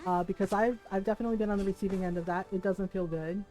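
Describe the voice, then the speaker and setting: A woman chatting casually on a live game stream in a candid, sweary, animated storytelling way, who grew up speaking Tagalog, English and Spanish. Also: mid-range voice, racing, faint music behind.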